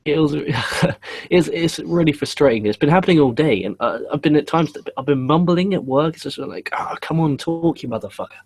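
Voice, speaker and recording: A man co-hosting a podcast in casual chat, his voice hoarse and starting to give out. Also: a little high, normal speed, hardly any pauses, a little mellow, dry recording. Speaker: podcast co-host.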